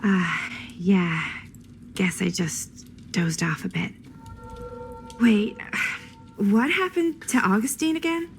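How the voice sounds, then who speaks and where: A woman's voice: medium pitch, slow, soft background music. An actress playing a curious, uneasy young woman in a video game, speaking in a hesitant, questioning way. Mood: groggy, confused.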